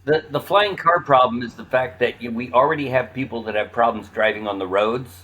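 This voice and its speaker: A man's voice: medium pitch, fairly quick, bright, forceful tone, lo-fi sound, slight background noise. A man talking casually as a panelist on a podcast.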